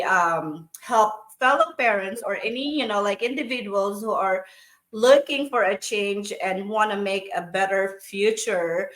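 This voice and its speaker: A woman with a Filipino accent hosting a casual chat, speaking haltingly as she searches for words. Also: medium pitch, pushed and bright, low-quality recording. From Philippines. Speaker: host, online business owner.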